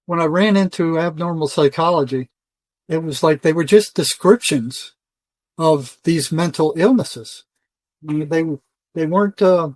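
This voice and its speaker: An older man answering as an interview guest in a conversational, halting way, often repeating words and restarting. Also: on the high side, a little mellow, no echo. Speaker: interview guest, retired psychotherapist.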